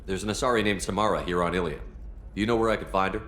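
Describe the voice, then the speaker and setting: A man voicing a calm, direct starship commander in a video game cutscene. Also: sits low, very fast, a little hiss or hum. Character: steady male starship commander.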